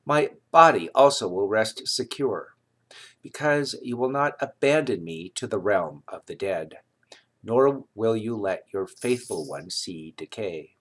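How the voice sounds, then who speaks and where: A man reading scripture aloud in a solemn, deliberate manner, with measured phrases and pauses. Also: on the low side, expressive, fairly bright tone. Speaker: scripture reader.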